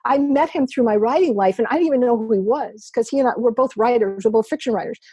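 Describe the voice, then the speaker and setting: A talkative woman rambles loosely, her fairly high voice mellow and soft-edged. She laughs easily as she talks. The sound is dry and close over a computer mic. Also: fast, breathy edge, no echo, rough recording. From New York. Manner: chatty, rambling, laughs often. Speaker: podcast guest, poker writer. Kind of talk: casual remote interview chat.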